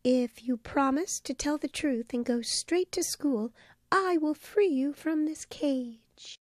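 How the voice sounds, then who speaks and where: Woman playing a quiet gentle fairy, storybook. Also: high voice, slow, no echo, voice pushed up. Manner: soft, gentle.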